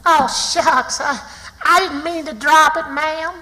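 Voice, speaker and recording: Timid Southern child, boy-as-little-girl act, high, slow and stammering, in a reverberant radio play. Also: steady tone, sharp tone, slight background noise, heavily acted. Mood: flustered. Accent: American South. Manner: hesitant, stammering, folksy. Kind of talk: radio theatre acting. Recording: long reverberant hall sound.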